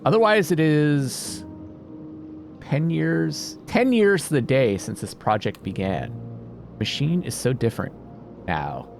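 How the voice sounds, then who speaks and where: A man doing casual let's play commentary, thinking out loud and reading game text aloud. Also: a little high, lively intonation, slow, vocal fry. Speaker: video game player and host. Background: atmospheric game music.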